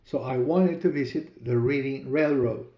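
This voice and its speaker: A middle-aged man from Peru, a native Spanish speaker with a Spanish accent, hosting his own podcast and telling his story in a measured, deliberate way. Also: medium pitch, roomy sound, highs cut off. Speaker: podcast host and author. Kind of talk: storytelling monologue.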